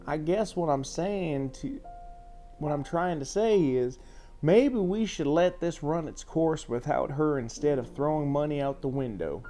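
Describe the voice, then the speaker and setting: A man's voice: a little high, animated, unhurried, mellow. A man voicing a weary, blunt but loving sheriff father in an audiobook story, over a music bed. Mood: exasperated.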